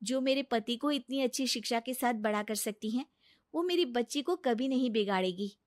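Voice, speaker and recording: A gentle young daughter-in-law, put on by a woman narrator for a cartoon story. She speaks politely and earnestly in a high voice. The recording is dry. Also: normal speed, no room sound, lightly acted.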